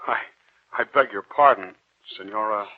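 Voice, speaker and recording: An actor playing an earnest, romantic young student, courteous and measured, speaking and narrating in 1940s radio style. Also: male voice, lowish voice, close to an everyday voice. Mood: polite, earnest. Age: young adult. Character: earnest, romantic young male medical student, smitten and uneasy. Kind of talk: 1940s radio acting, dramatic first-person narration. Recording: muffled 1940s radio recording with music.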